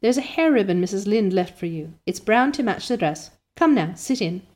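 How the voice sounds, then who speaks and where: A woman voicing a stern, brisk older farm woman who talks curtly, reading a storybook aloud. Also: mid-range voice, lively intonation, darkish tone, close to an everyday voice. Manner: curt and brisk.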